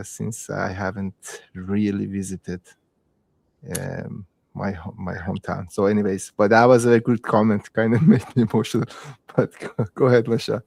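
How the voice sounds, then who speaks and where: A man's voice: lowish voice, vocal fry, darkish tone, no echo. A man from Iran, hosting a casual podcast chat and often repeating himself with hesitant fillers. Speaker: podcast host. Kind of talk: casual conversation.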